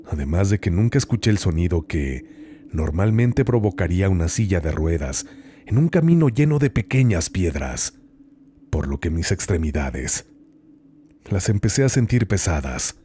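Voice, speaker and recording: A man narrating horror stories as host, in a slow, hushed, dramatic reading with long pauses. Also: low-pitched, sing-song, slight background noise.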